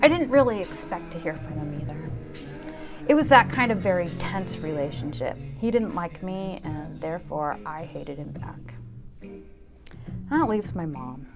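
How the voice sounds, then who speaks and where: A woman's voice: on the low side, vocal fry. A woman in her fifties hosting a solo show, narrating a scripted personal essay in a wry, candid manner. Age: 50s.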